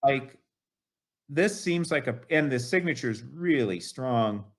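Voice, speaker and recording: A man's voice: medium pitch, lively intonation, takes it slowly. A man in his late fifties, a guest on an interview show, talking enthusiastically and at length with lots of "you know". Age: 50s. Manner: enthusiastic, rambling, many fillers like "you know". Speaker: guest collector. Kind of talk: casual interview chat.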